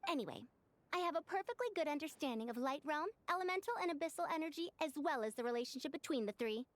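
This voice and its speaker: A woman's voice: high-pitched, expressive, quick pace, no echo. A woman voicing a proud, self-assured female scholar in a video game, speaking in a boastful, lecturing manner. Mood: defensive.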